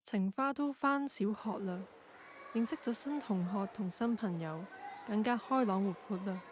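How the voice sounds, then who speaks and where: A woman's voice: mid-range voice, normal speed, slight background noise. A woman narrating a scripted radio drama.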